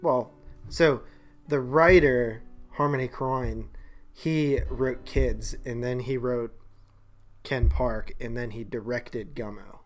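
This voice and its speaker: Female podcast co-host, notably deep voice, slangy and teasing, music underneath. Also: fairly even intonation, slow. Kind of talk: casual banter.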